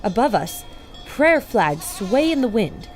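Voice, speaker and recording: Actress as earnest, higher-voiced expedition radio reporter. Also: female voice, very animated, takes it slowly, soft background music. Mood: earnest reporting. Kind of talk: 1930s radio reporting acting.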